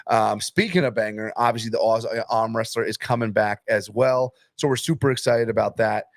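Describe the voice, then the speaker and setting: A young man hosting a casual podcast, hyping up matches and sponsors with excitable energy. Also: lowish voice, a little fast, no room sound, clean studio sound. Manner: hyped, excitable, promotional. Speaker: podcast host and arm wrestling promoter.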